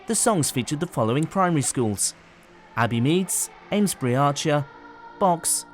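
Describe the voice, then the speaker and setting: Measured male radio credits announcer. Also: a little high, animated, faint music behind. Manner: measured, formal list reading.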